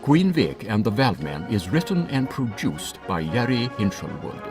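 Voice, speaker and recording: A man's voice: on the low side, very animated, normal speed, over loud music. A man reading scripted credits as an announcer.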